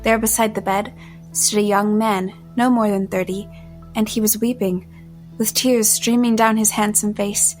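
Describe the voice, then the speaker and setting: An actress playing a hunted woman who tells her story as tense, deliberate first-person audio drama narration. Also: mid-range voice, level tone, darkish tone, soft background music, lightly acted. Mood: watchful, tense.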